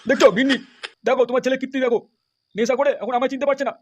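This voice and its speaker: A man's voice: very high voice, fairly even intonation, racing, lo-fi sound, very noisy. A man voicing a foul-mouthed middle-aged village father in a cartoon, grumbling, cursing and making threats. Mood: furious. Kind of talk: comedy cartoon dubbing.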